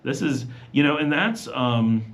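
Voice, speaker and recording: A man's voice: medium pitch, animated, unhurried, a bit bright. A man hosting a casual evening livestream from home, chatting loosely and reading viewers' comments aloud. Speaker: livestream host. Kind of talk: casual live Q&A chat.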